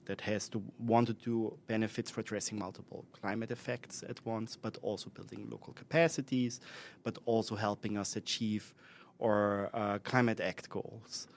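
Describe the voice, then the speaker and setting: A man's voice: on the low side, level tone, normal speed, creaky, a little hiss or hum. A man with an Austrian accent speaks as a guest expert in a conversational interview, thinking aloud with frequent fillers and self-corrections. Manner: thoughtful, with many fillers and restarts.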